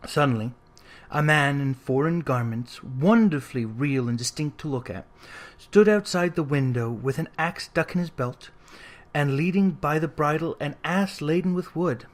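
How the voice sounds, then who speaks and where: A narrator with a medium-pitched voice reads a storybook aloud. The delivery is expressive and clearly scripted, in the manner of an audiobook. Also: normal speed.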